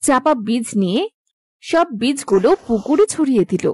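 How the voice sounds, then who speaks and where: A woman's voice: sits high, expressive, moderate pace, a little mellow, slightly rough, dry recording, studio quality. A woman narrator reading a scripted cartoon fairy tale.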